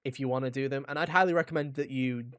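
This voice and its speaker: A man's voice: mid-range voice, fast talker, a bit bright, dry recording, studio quality. A man co-hosting a video game podcast, chatting in an informal, rambling and jokey way. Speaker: podcast co-host reviewing video games. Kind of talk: casual chat.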